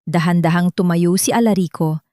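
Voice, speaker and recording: A woman's voice: lowish voice, normal speed, warm tone, no echo, clean studio sound. A woman narrator reading a scripted audio drama in a dramatic storytelling style.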